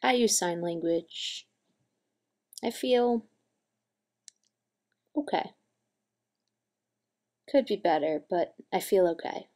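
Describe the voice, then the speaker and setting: A woman playing an earnest, wistful young deaf signer in a dramatic monologue, speaking in halting, deliberate phrases. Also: lowish voice, animated, darkish tone. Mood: tentatively hopeful.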